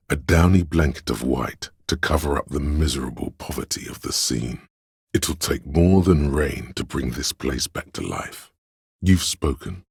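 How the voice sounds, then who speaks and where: An extremely deep, gravelly inner muse. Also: male voice, no room sound, studio quality, exaggerated voice. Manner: theatrical, savouring, poetic phrasing. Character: inner voice of artistic imagination, grandiose, rapturous, snobbish aesthete. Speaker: game voice actor. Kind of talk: dramatic literary game narration.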